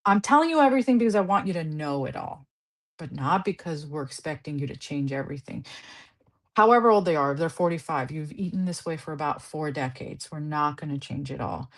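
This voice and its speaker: A woman's voice: sits low, lively intonation, fairly quick. Earnest woman explaining patient care. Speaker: guest clinician. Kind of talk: conversational podcast interview. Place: Southern California.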